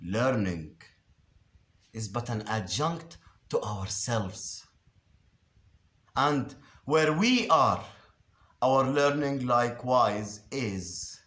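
A man reciting Shakespearean verse theatrically, declamatory and deliberate, with dramatic pauses and emphatic swells. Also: fairly low pitch, a bit bright. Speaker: actor reciting a Shakespeare monologue. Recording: roomy, echoing space.

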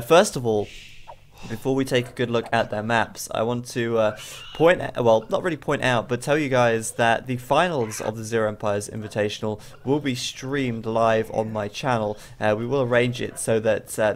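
A man's voice: medium pitch, a little hiss or hum. A young man with a cold and a stuffy nose, tired late at night, casting a strategy game match in rambling commentary full of fillers. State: bunged up with a cold. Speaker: game caster.

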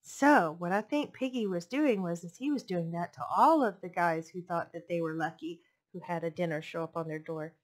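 A young woman hosting a storytime, reading picture books expressively with deliberate phrasing for young listeners. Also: lowish voice. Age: young adult. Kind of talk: expressive children's story read-aloud. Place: indoors, furnished home room. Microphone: headset microphone.

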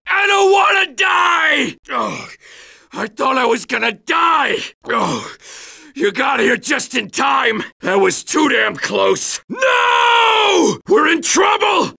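A man's voice: very high voice, vocal fry, pushed and bright, exaggerated voice. A man playing a brash, aggressive, foul-mouthed American Marine in a combat video game, exclaiming his lines. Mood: frightened.

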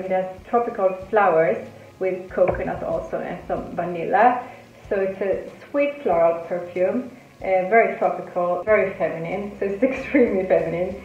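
A woman's voice: medium pitch, echoey room, phone-quality sound, a little hiss or hum. A woman in her thirties, a perfume vlogger, chatting casually and upbeat about her favourite scents. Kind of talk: casual vlog chat.

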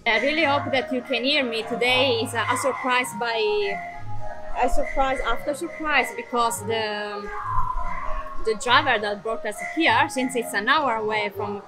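A woman's voice: a little high, a little slow, over loud music, low-quality recording. A young woman vlogging casually to the camera, chatty, with lots of fillers and restarts. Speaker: travel vlogger. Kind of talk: casual vlog talk to camera.

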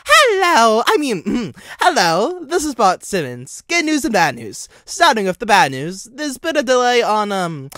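A man's voice: sits high, very animated, unhurried, hardly any pauses, fairly bright tone, no echo, studio quality, pitched above the performer's own voice, full character voice. A man voicing a cheery, flustered male caller leaving a rambling phone message in an audio story. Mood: cheerful, flustered.

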